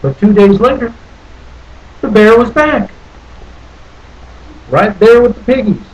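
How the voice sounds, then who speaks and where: A man's voice: sits high, takes it slowly, darkish tone, dull audio. A man reading a children's story aloud expressively, theatrically lingering over phrases. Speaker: storybook reader. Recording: noticeable background noise.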